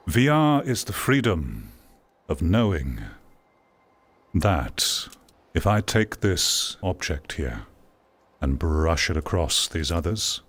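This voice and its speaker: Male game critic, low voice, dramatic pauses, reflective video-essay delivery over music. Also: a bit bright. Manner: measured, theatrical, rhetorical delivery with pauses. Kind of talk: reflective scripted-sounding video essay commentary.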